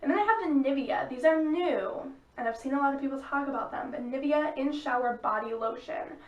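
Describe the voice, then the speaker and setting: A woman's voice: high voice, a little fast, echoey room, recorded from a distance, dull audio. A young woman with a cold, sounding sniffly and stuffy, chatting frankly through a product review vlog. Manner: chatty, frank, drops into asides. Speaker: beauty vlogger. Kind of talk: casual product review chat.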